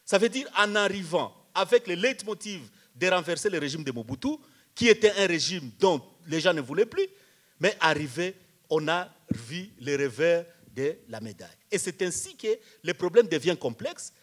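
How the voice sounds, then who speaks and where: A man's voice: a little high, lively intonation, moderate pace. A man speaking on a panel at a public debate, explaining didactically with rhetorical repetition and questions.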